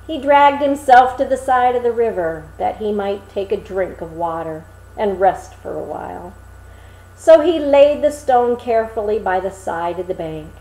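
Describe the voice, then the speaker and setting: Animated woman storyteller in a roomy space. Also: mid-range voice. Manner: animated, dramatic, deliberate phrasing. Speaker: storybook reader. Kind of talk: expressive fairy tale narration.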